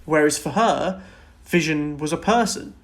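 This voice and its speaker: Higher-voiced man, earnest podcast co-host. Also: measured pace, fairly bright tone. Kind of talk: casual film and TV discussion.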